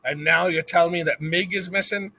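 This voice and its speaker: A man voicing a scornful female castle servant who shouts. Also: high voice, fairly even intonation, moderate pace, pitched above the performer's own voice, exaggerated voice, rough recording. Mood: indignant.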